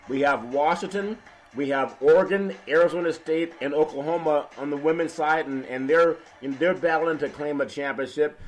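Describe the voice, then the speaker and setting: A man with a raspy, half-lost voice hosting a casual sports talk show, laughing often and talking slowly. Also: on the high side. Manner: slow and laughing, keeps calling his co-host's name. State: hoarse, partly lost voice. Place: West Coast radio studio.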